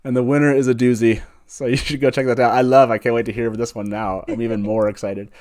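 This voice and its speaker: Man, show host, casual livestream talk, enthusiastic, chatty, mid-pitched voice. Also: little pitch movement, fast talker, darkish tone.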